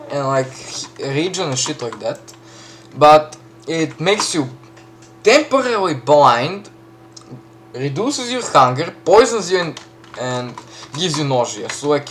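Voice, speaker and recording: A man with a Serbian accent casually walking through a game map he built, chatty, full of fillers and quick self-corrections. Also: a little high, fairly bright tone, slight background noise. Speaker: map creator giving a tour. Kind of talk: casual gameplay walkthrough.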